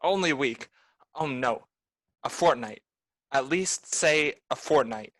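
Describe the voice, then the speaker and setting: A woman's voice: rumbling low, lively intonation, unhurried, no room sound, exaggerated voice. A woman playing a proud, deferential male butler in a 1930s-style radio play, pleading melodramatically.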